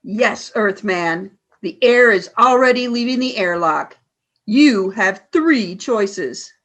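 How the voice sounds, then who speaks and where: Woman acting as a slow, imperious alien commander. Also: mid-range voice, expressive, fairly bright tone. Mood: taunting. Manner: measured, commanding, taunting. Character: cold, ruthless female alien commander, menacing and imperious. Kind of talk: radio play acting.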